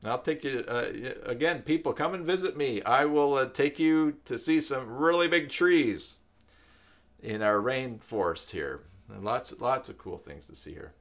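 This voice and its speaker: An older man hosting a live stream, chatting casually in a rambling, reflective way with hesitant pauses. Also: mid-range voice. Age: older, with grandchildren.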